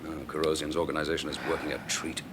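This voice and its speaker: A confident detective inspector in a radio drama. His voice is very low and quick, breezy yet in command, on a noisy recording. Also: level tone, fairly bright tone. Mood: wry. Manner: breezy, clipped, authoritative. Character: brisk, wry, confident male police inspector.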